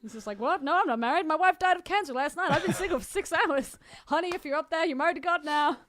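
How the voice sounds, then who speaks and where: A woman's voice: sits high, quick pace, a bit bright. A woman co-hosting a podcast with casual banter and a blunt, irreverent manner. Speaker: podcast co-host.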